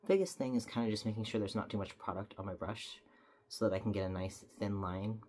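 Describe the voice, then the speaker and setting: A woman's voice: very deep voice, a little fast, a little mellow. A young woman, slightly stuffy from a cold, chatting casually as she explains each step of a makeup tutorial.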